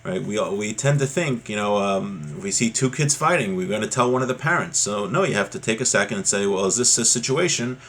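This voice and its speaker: A man's voice: on the low side, animated, a little fast, few pauses, fairly bright tone. A man giving an informal religious lesson as a teacher, explaining in a conversational way. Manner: conversational, explanatory, checks in with "right?". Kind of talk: informal religious lesson, reading a text aloud then explaining it.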